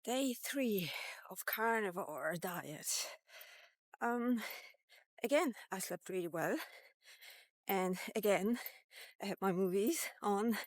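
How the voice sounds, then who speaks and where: A woman in her fifties talking casually in a vlog, halting and reflective, pausing between short phrases. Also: medium pitch, animated, no echo. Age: 50s. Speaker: vlogger. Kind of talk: casual walk-and-talk diet vlog.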